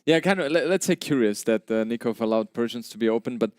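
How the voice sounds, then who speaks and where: Clear, conversational male esports commentator. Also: mid-range voice, animated, fast talker, dry recording, clean studio sound. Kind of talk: live tournament commentary.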